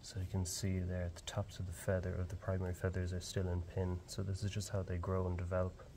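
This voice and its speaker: Young Irish man, low register, calm field-guide delivery, shed ambience audible. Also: fast talker, slight background noise. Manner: calm, explanatory. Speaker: barn owl ringer. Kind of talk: explaining fieldwork on camera. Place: open farm shed outdoors.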